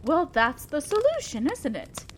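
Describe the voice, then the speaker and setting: A woman's voice: high voice, huge pitch swings, slight background noise, heavily acted. A woman playing an imperious, old-fashioned female demon lord in an audio roleplay, speaking formally and with condescending concern to the listener. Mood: pleased, insistent. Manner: commanding, formal, measured, condescending yet concerned. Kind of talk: scripted roleplay monologue addressed to the listener.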